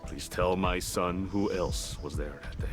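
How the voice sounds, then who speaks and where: A man's voice: sits low, measured pace. An actor playing a stern, commanding older father, a wealthy guild chairman, in a game. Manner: curt, commanding. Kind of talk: game voice acting. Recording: game audio with music behind.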